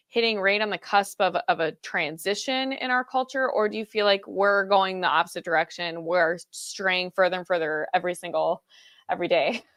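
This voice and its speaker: A woman hosts a podcast interview with a smooth, resonant voice. She asks questions thoughtfully and affirms her guest's points. The sound is dry and close, with no room echo. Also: mid-range voice, a bit bright. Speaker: podcast host. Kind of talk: interview hosting.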